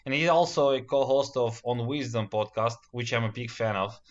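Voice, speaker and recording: A man's voice: medium pitch, normal speed. A man whose first language is Russian, politely hosting an interview with careful, hesitant phrasing. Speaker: podcast host. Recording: dull lo-fi recording.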